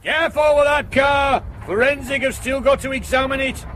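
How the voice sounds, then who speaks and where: An actor with an English accent playing a brisk senior policeman giving orders in a radio drama. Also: very high-pitched, fairly bright tone, slight background noise. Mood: brisk, commanding.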